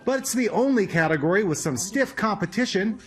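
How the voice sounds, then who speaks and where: Very high-pitched, lively male news correspondent. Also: brisk, a little hiss or hum. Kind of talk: scripted news report narration.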